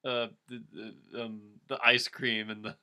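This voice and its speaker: A man's voice: medium pitch, little pitch movement, unhurried, sharp tone. A man in casual comic chat, incredulous and nitpicking, stammering as he comments on a story reading.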